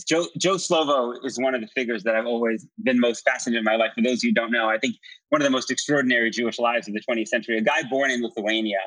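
Male interview guest, mid-pitched, articulate and emphatic explainer of politics and history, very dry sound. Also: a bit bright, dry recording, rough recording. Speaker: interview guest, writer and commentator.

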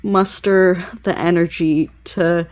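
A woman's voice: fairly low pitch, steady tone, slow pace, darkish tone. A woman co-hosting a podcast, speaking frankly and informally in a casual panel chat. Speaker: library podcast co-host.

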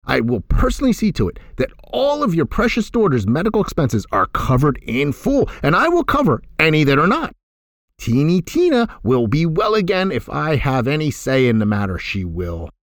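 A man's voice: on the high side, wildly swooping pitch, normal speed, dry recording, clean studio sound, pitched above the performer's own voice. Man doing a giddy sing-song reformed boss. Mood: gleeful.

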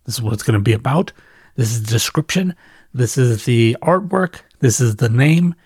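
A man giving a casual, reflective solo monologue off the cuff like a podcast host, conversational and thoughtful, leaning on repetition and pauses for emphasis. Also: mid-range voice, expressive, a little mellow, breathy edge, no echo, studio quality. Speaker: podcast host and newsletter writer.

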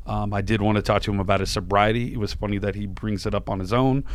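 Tired rambling man with a smooth voice. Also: lowish voice, fairly even intonation, quick pace. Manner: rambling, with many fillers and restarts. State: tired, voice a little dry. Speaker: podcast host. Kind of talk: casual podcast chat and interview.

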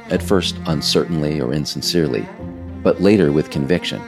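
A man's voice: low-pitched, vocal fry. A man reading scripted narration as the author of an audiobook.

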